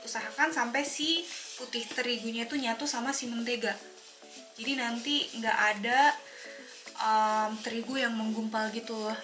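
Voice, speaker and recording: A woman from Makassar with a soft Makassar accent, chatting through each cooking step in a home kitchen. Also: on the high side. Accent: Makassar Indonesian, softened by years in Jakarta. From Makassar, Indonesia. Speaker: cooking vlog host. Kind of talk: casual cooking demonstration. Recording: echoey kitchen, far from the mic, loud music.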